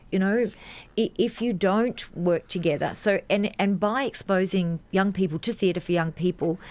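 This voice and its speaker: A woman's voice: mid-range voice, darkish tone. An Australian woman, a teacher, explaining earnestly in a radio interview with emphatic repetition. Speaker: drama teacher, interview guest. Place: radio studio.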